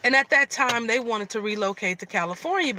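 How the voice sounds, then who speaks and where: A middle-aged woman from Michigan with a slightly raspy voice, chatting casually on a live stream and often restarting her sentences. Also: fairly high pitch, animated, a little fast, bright, forceful tone, a little hiss or hum. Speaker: vlogger.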